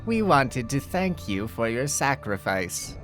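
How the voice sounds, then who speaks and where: Male narrator as storybook old woman, clear, unhurried and very slow, swooping intonation, quietly sinister, soft music. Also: mid-range voice, big pitch swings. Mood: calm menace. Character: eerie old grandmother, grey, calm, quietly sinister. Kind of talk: dark fairy-tale storytelling.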